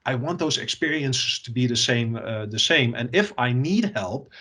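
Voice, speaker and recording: A man from the Netherlands with a Dutch accent, an executive answering interview questions and often pausing with fillers and repeated words. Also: mid-range voice, lively intonation, pushed and bright, husky. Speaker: software company executive.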